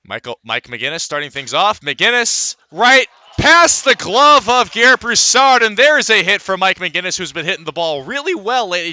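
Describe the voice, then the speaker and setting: A male baseball radio announcer with a very bright, forceful, slightly high voice. He calls the game play by play, filling in with stats, and the sound is close and dry. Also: clear, resonant tone, dry recording. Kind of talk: live radio sports commentary.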